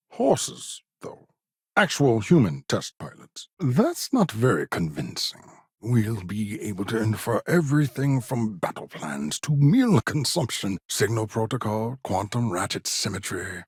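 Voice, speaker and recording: A man's voice: mid-range voice, husky, no echo, clean studio sound, full character voice. An actor playing an eccentric, condescending but eager male scientist in a space video game, speaking in a fussy, wry, pedantic way. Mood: wry, explaining. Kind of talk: video game character dialogue.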